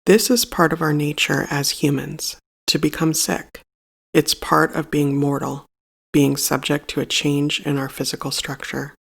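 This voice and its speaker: Creaky-voiced meditation guide, measured and solemn. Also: medium pitch, little pitch movement, a bit bright. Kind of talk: scripted guided meditation narration.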